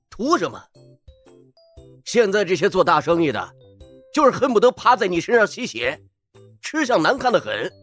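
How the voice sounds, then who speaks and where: A man's voice: high-pitched, fairly bright tone, full character voice. A man playing a grumbling, suspicious shop owner who argues scornfully in an audio drama. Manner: scornful, argumentative.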